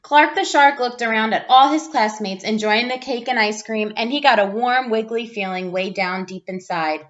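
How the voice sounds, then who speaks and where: A woman reading a children's picture book aloud with expressive storytelling narration. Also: fairly high pitch, moderate pace, muffled recording.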